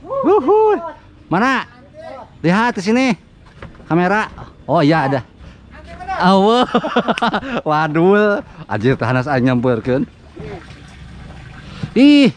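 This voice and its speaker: A man in his thirties with a Sundanese accent, giving excited live commentary while fishing outdoors at a pond, often laughing and yelping. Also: sits high, takes it slowly, pauses often. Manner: excitable, laughing, yelps when fish bite. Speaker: fishing vlogger.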